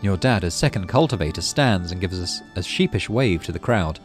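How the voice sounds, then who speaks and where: A man's voice: deep voice, lively intonation, brisk, soft background music. A man hosting a gaming video, reading story text expressively and adding his own casual commentary.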